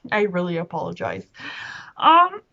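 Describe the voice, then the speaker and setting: A woman's voice: on the low side, sing-song, measured pace, vocal fry, pushed and bright, muffled recording. A woman talking casually as a book vlogger, in an enthusiastic, rambling and self-deprecating way. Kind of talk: casual chatty monologue to camera.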